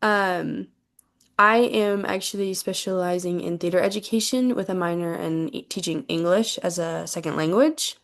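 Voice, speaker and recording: A woman's voice: fairly low pitch, moderate pace. A woman in her twenties from Tennessee, a college student casually leading introductions on a video call, friendly and a bit hesitant with lots of ums.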